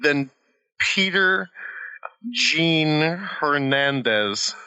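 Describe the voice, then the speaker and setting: A man's voice: fairly high pitch, clean tone, dull audio. A man co-hosting a podcast, bantering casually and laughing often. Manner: laughs often, quick and lively. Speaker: podcast co-host.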